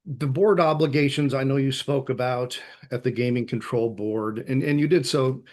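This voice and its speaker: A man serving as commissioner, making formal remarks remotely in a polite, halting, apologetic manner. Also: mid-range voice. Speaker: gaming commissioner. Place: joining remotely.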